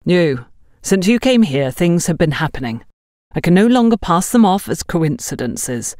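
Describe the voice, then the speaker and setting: A woman's voice: lowish voice, sing-song, normal speed, a little mellow, dry recording, clean studio sound. A woman voicing a stern female shop supervisor in an audiobook, accusatory and sarcastic. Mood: stern, accusing.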